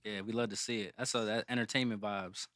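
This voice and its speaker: A man hosting a podcast who asks questions and reacts eagerly with quick comments. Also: fairly low pitch, fairly even intonation, a bit bright, dry recording, studio quality. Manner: enthusiastic, lots of "that's dope" reactions. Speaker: podcast host. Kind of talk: casual podcast interview.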